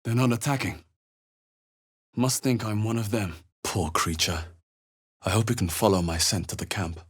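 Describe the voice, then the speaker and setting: An actor playing a wry, watchful male fantasy adventurer in a video game, with a husky, dry, understated delivery. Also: lowish voice, normal speed. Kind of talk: video game character voice lines, short reactions.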